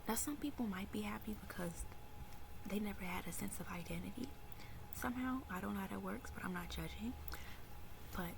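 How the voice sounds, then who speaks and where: A woman in her early twenties, mostly whispering as she tells a personal faith story in a soft, confiding way. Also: mid-range voice, fairly quick, vocal fry, pauses often, background noise. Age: early 20s. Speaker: vlogger.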